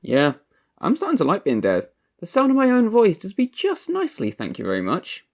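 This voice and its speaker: A woman's voice: medium pitch, huge pitch swings, fairly quick. A woman playing an impatient, sardonic dead soul in an audio drama. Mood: wry. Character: impatient, sardonic dead person awaiting afterlife.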